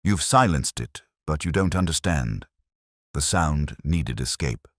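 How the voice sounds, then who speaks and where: A man narrating a story as a weary, fatalistic old inventor who speaks gravely and formally. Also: very deep voice, lively intonation, measured pace, a bit bright, dry recording, studio quality, heavily acted.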